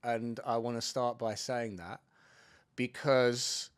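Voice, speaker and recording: A man's voice: medium pitch, fairly even intonation, slow, clean tone. A man hosting a podcast interview in a hotel room, joking around and rambling, stumbling and restarting his sentences. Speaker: podcast host.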